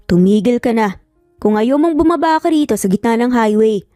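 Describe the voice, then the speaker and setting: A woman's voice: on the high side, expressive, normal speed, warm tone, no echo, clean studio sound, faint music behind, close to an everyday voice. A woman voicing a stern, grumpy male boss who speaks in a curt, cold, firm manner in a narrated story.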